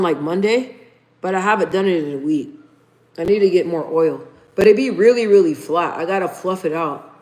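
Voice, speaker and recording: A woman in her thirties hosting a casual livestream, chatting informally with viewers and reading their comments aloud. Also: medium pitch. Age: 30s. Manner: chatty and informal, addresses viewers as "you guys". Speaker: livestream host.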